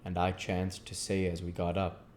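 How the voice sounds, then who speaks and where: A male narrator with a low voice reads literary prose aloud. He delivers it in an audiobook style as the host of the reading. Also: completely flat delivery, normal speed, a little mellow, slight background noise.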